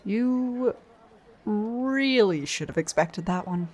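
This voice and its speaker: A woman's voice: mid-range voice, animated, slow pace, a little mellow. A woman voicing a level-headed young student who teases her friend in an audio drama. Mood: dry. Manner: level-headed, dryly teasing. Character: sensible young woman student, patient, wry. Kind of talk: ASMR audio drama roleplay.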